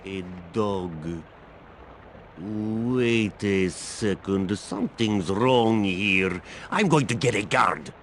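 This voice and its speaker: A man's voice: on the low side, very slow. A man playing a wary, suspicious base worker in a video game, recorded with noise. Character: suspicious male base worker challenging an intruder. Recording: very noisy game audio.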